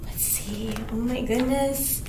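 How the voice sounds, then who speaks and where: A woman's voice: fairly high pitch, steady tone, slow, dark tone. A woman presenting a storytime for young children, reading picture books and rhymes aloud in an exaggerated, sing-song way. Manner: sing-song, exaggerated, with dramatic gasps and simple explanations for little ones. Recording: roomy echo, clear background noise.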